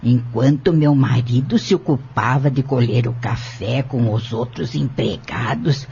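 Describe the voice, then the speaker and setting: Man playing a slow, creaky-voiced elderly woman witness. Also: medium pitch, a little mellow, slight background noise. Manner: measured, formal, deliberate testimony. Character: elderly upright woman shopkeeper, firm courtroom witness. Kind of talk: audio novel acting. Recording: dull lo-fi audio with music bed. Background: music.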